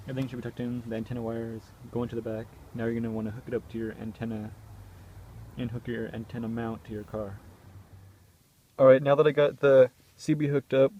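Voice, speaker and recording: A man's voice: mid-range voice, warm tone. A man gives casual, hands-on how-to instruction in an informal, offhand way, recorded in and around a car. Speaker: do-it-yourself video presenter. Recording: clearly noisy car, handling clicks.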